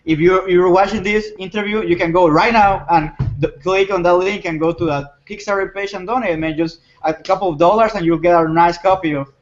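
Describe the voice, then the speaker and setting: A man with a slightly high voice hosts a casual interview, friendly and excited. His audio sounds a little muffled and lo-fi. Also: fairly quick, few pauses. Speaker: interview host.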